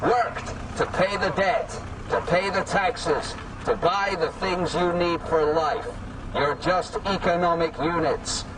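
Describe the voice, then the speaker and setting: A man's voice: high voice, slow pace. A man giving a declamatory, repetitive political street speech, haranguing through a megaphone outdoors. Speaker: political campaigner. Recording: distant megaphone, loud street and vehicle noise.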